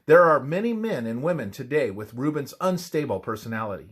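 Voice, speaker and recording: A man reads a scripted religious text aloud as devotional narration, in a measured, emphatic scripture-reading manner. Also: medium pitch, sing-song, husky.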